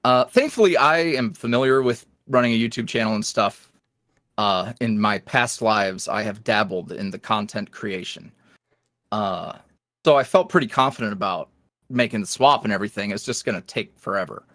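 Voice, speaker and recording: A man's voice: on the low side, normal speed, fairly bright tone, dry recording, clean studio sound. A man speaking as a podcast guest in casual interview chat, with a wry, self-deprecating, rambling manner. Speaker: podcast guest, ASMR roleplay creator.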